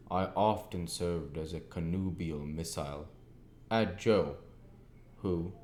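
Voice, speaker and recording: A man narrating a classic storybook as an amateur reader, with deliberate, slightly halting phrasing. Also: sits low, darkish tone. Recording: echoey room, faint background noise.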